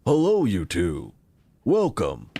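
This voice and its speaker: Slow, expressive male company founder, played by an actor. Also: fairly low pitch, slightly rough, no echo, heavily acted. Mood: welcoming. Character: affable, enigmatic male founder of secretive tech company. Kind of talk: full-cast audio drama acting.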